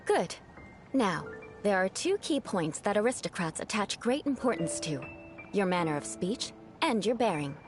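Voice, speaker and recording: A woman's voice: medium pitch, very animated, normal speed, lightly acted. A woman voicing an aloof young noble knight who speaks in a formal, haughty way. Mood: instructive. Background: game music.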